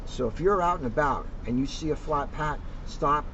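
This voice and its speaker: A man with a fairly high voice speaks casually to young listeners, as an officer hosting a story reading. He is outdoors, with dull sound and clear traffic noise around him. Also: animated, normal speed. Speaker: police officer reading to children. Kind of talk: picture-book read-aloud with casual asides.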